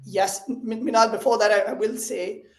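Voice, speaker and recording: A man with an Indian accent, a startup CEO talking as a guest in a conversational interview, energetic and quick, sometimes repeating words as he starts. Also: sits high. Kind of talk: casual conversational explaining. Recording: roomy echo on voice.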